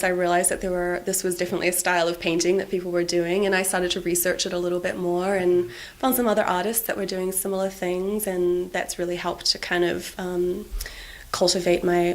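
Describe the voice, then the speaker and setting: Creaky-voiced woman. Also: on the low side, little pitch movement, brisk. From New Zealand. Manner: earnest, restarts phrases, laughs easily. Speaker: artist guest. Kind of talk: casual conversation.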